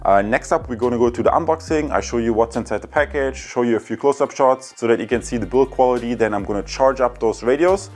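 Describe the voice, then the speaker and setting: A man's voice: mid-range voice, fast, few pauses, soft background music. A man presenting a casual, explanatory tech review, speaking enthusiastically and directly to viewers.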